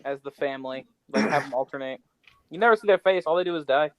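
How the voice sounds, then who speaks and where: A man's voice: a little high, quick pace. A man co-hosting a podcast with casual, opinionated banter. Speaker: podcast co-host.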